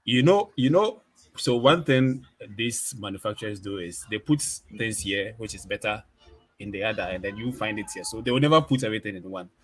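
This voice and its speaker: A man with a deep voice chatting casually on a live video call, relaxed and halting, with many restarts. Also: husky. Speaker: photographer and video creator. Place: home studio with ring light. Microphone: USB desk microphone on video call.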